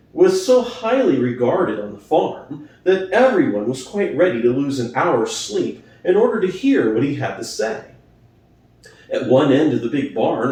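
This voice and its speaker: Expressive male storyteller in a very echoey room. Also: medium pitch. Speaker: audiobook reader. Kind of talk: dramatic scripted narration of a classic novel. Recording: very echoey room, distant from mic.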